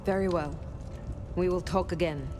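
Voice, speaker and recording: An actress playing a stoic, blunt ancient vampire woman in a video game, speaking formally and deliberately. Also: on the low side, steady tone, music in the background, lightly acted. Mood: direct. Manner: formal, deliberate, terse, direct.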